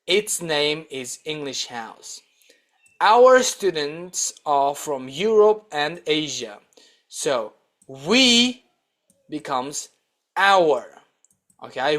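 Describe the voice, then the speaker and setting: A man teaching a scripted English grammar lesson in a deliberate, instructional way, repeating example sentences. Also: a little high, a bit bright.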